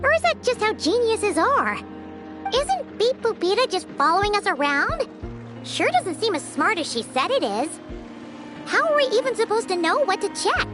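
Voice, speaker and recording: A woman voicing a tiny, childlike, excitable fairy companion who chatters and exclaims in a video game. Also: extremely high pitch, normal speed, over music. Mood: puzzled, chatty. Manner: childlike, exclamatory, refers to self by name.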